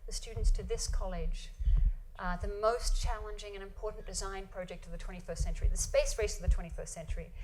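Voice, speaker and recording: A woman with an Australian accent giving a university guest lecture in a quiet voice, breaking off mid-sentence and asking rhetorical questions. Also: medium pitch, fairly even intonation, fast talker, low-quality recording. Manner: quiet, self-interrupting, rhetorical questions with "right?". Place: lecture hall.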